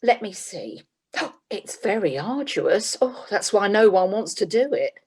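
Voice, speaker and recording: A woman's voice: medium pitch, big pitch swings, measured pace, darkish tone, breathy edge, full character voice. A woman playing a businesslike, slightly weary job agent in a comic radio play. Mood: dry. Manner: businesslike, dry, faintly exasperated. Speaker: employment agent.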